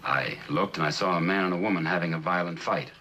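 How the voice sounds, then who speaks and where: An actor playing a guarded, reluctant middle-aged witness in a 1960s TV courtroom drama, answering carefully and haltingly in a husky voice. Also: male voice, low-pitched, sharp tone, slight background noise.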